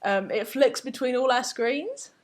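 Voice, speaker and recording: A woman's voice: high-pitched, very animated, a little slow. A woman hosting a live painting stream, chatting casually as she works, laughing often and sometimes losing her thread. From Finnish family. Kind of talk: casual chat while painting.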